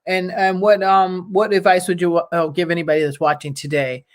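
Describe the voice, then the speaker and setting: A woman's voice: on the low side, flat delivery, moderate pace. Female talk show host: chatty and conversational, with uhs and ums. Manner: chatty, conversational, frequent fillers. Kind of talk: casual interview chat.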